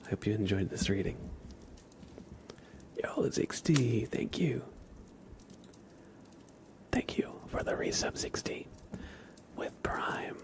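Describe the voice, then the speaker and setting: A man hosting an ASMR livestream, chatting casually with viewers in a soft, breathy half-whisper, sometimes drawing words out and repeating them. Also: on the low side, lively intonation, takes it slowly, pauses often. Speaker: livestream host.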